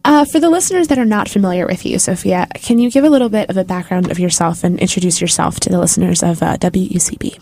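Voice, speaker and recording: A woman's voice: fairly low pitch, fast, gravelly, few pauses, warm tone. A woman hosting a college radio show, asking questions in a friendly, upbeat, loosely phrased way. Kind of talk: casual radio interview.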